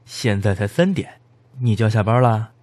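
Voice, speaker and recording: A man's voice: on the low side, animated, moderate pace, a little mellow, dry recording. A man playing a chummy, teasing young finance manager in an audio drama, with playful delivery. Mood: teasing, casual. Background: background music.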